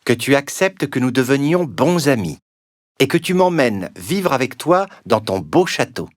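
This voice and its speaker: A man voicing a polite, pleading talking frog that punctuates its speech with croaks, in a children's storybook cartoon. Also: mid-range voice, sing-song, measured pace, dry recording, clean studio sound. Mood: coaxing.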